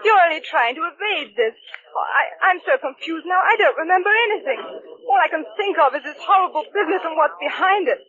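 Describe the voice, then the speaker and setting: A distraught young Englishwoman pleads and presses for straight answers. Her voice is high. The old radio recording sounds muffled. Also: animated, fairly quick, fairly bright tone. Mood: distressed. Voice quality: pleading, emotional. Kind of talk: 1940s radio acting.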